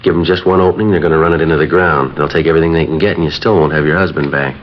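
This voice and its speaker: A man playing a stoic police detective in a 1950s radio drama, speaking in a terse, matter-of-fact, deadpan way. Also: extremely low pitch, very fast. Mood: firm. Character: stoic male police detective narrating his case. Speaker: lead actor and narrator of a police radio drama. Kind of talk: 1950s radio acting, clipped scripted narration. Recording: muffled vintage 1950s radio recording with music.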